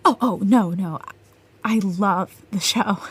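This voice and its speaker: A woman's voice: medium pitch, slow pace, a bit bright, slightly rough, exaggerated voice. An actress playing a starstruck, anxious teenage girl in an audio drama, stammering and hesitant. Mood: awkward, hesitant. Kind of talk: naturalistic audio drama dialogue.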